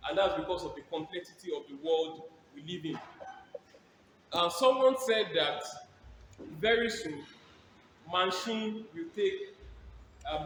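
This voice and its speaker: A man's voice: high-pitched, a bit bright, a little hiss or hum. A man giving a lecture to students, asking them questions and repeating his key points. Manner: rhetorical, repeats key phrases, asks the audience questions. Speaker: guest speaker. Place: large lecture theatre. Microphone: hand mic over a PA system. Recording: echoey hall, PA sound from far off.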